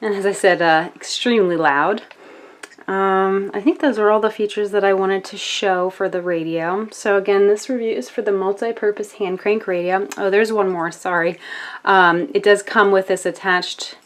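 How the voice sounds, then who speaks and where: A woman's voice: mid-range voice, steady tone, normal speed. An American woman narrating a casual product demonstration as a reviewer, explaining step by step in an informal, hesitant way.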